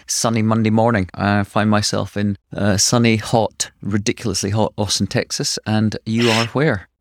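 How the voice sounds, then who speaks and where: A man hosting a podcast, reading a scripted guest introduction, then chatting in a measured way. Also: on the low side, fairly even intonation, no room sound, studio quality. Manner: measured, reads a list-like introduction. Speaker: podcast host. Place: Austin, Texas.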